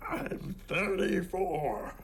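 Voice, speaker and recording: An actor playing a frail, confused old man in a film drama, halting and muttering with a creaky rasp. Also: high voice, heavy background noise, full character voice. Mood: bewildered. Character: confused, frail ancient man insisting he is young.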